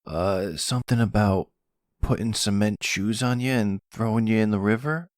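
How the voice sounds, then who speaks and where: Young son, male performer: nervous, naive, pleading and apologetic, raised pitch, hesitant delivery, intimate roleplay drama. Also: moderate pace, no room sound, clean studio sound, lightly acted. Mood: sheepish, uneasy. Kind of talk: ASMR roleplay audio drama.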